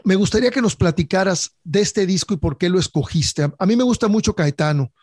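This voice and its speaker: A man with a Mexican accent hosts a conversation, effusive and courteous toward his guest. His voice sits a little higher than usual for a man. The sound is close and dry, with no room echo. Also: expressive, a little fast, darkish tone. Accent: Mexican Spanish. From Mexico, born in Cuba. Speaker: interviewer, host. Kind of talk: friendly interview hosting.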